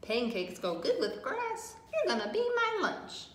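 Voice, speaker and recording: An excitable, hungry storybook donkey, put on by a woman, brays loud and high. The voice bounces around an echoey room. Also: a little slow, full character voice. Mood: excited, hungry. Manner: loud braying. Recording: roomy echo, some background noise.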